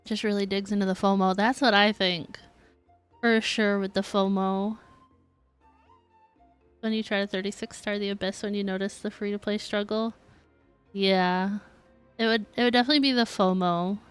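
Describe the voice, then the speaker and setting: A woman's voice: medium pitch, steady tone, normal speed, long pauses, clear, resonant tone. A woman chatting casually to viewers as she streams a game. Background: game music.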